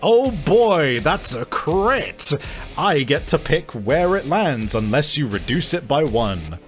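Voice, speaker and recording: Animated man running a tabletop game. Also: fairly high pitch, slow, soft background music. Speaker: game master. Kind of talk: narrating a tabletop game, vivid improvised description.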